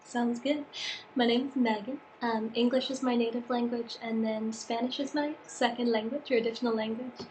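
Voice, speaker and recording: A woman's voice: fairly high pitch, steady tone, normal speed, a little mellow, rough recording. A young adult woman giving a casual self-introduction in a group training session. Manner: plain and casual with fillers. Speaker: staff member in training. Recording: background noise in a room.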